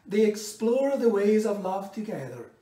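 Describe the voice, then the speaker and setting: A middle-aged man reads a picture book aloud in a measured, expressive storytelling way, pausing between phrases. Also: high-pitched. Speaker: storybook reader. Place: indoors in a bright home room by a window. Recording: roomy echo, distant microphone.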